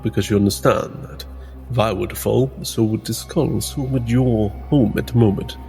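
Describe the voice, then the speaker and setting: A man playing a tabletop game in character, speaking earnestly in deliberate, halting phrases. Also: on the low side, fairly even intonation, creaky, dark tone, soft background music. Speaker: tabletop roleplay player. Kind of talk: in-character improvised roleplay dialogue.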